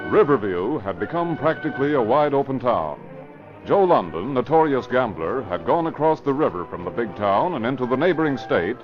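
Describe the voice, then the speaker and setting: A man narrating an old-time radio drama as announcer, formal and dramatic in his scripted delivery. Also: mid-range voice. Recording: dull old radio recording, music behind.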